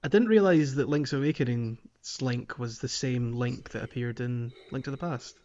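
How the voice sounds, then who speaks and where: A man's voice: mid-range voice, moderate pace, darkish tone, highs cut off. A man chatting casually as a co-host on a podcast discussion.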